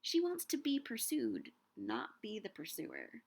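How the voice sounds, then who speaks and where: A young woman giving a scripted, measured talk on Shakespeare and books, slowing down to savor quoted lines. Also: medium pitch, huge pitch swings, vocal fry, slightly rough. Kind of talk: scripted educational narration.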